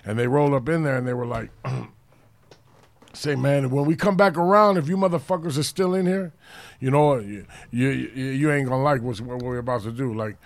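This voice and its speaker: Loud deep-voiced blunt man. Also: a little fast, vocal fry. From Los Angeles. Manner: loud, blunt street talk with heavy swearing. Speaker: podcast co-host. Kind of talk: casual storytelling chat.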